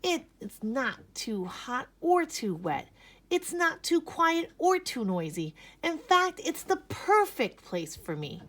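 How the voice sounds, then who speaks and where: A woman's voice: sits high, big pitch swings, slow pace, fairly bright tone, pitched above the performer's own voice, full character voice. A woman voicing a fussy, restless little female bunny in a storybook, with plaintive, emphatic delivery. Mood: happy, relieved.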